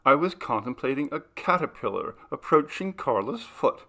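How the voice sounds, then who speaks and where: A man's voice: mid-range voice, animated, normal speed, pitched above the performer's own voice. A man reading aloud, voicing a curious, dreamy young woman in a ghost story. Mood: light. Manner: wondering, gentle.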